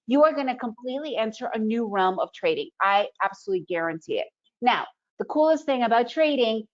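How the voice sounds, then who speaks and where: A middle-aged woman whose first language is Russian leads an online trading presentation in an energetic, motivational way. Her voice sits a little high. The webinar audio comes through slightly dull and lo-fi. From Soviet Union. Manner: energetic, motivational, conversational with frequent "right?".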